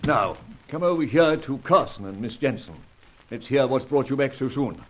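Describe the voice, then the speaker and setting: An actor playing a blustery upper-class British spymaster in an old radio serial, pompous and formal, with a husky voice. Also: male voice, mid-range voice, animated, normal speed, a little hiss or hum, close to an everyday voice, low-quality recording. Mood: brisk, worried. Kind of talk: old-time radio adventure serial acting.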